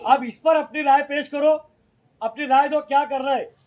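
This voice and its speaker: A man with a strikingly high-pitched voice addresses a community meeting as moderator. He explains things point by point in unscripted speech, checking back with those listening. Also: steady tone, moderate pace, darkish tone. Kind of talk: impromptu public address.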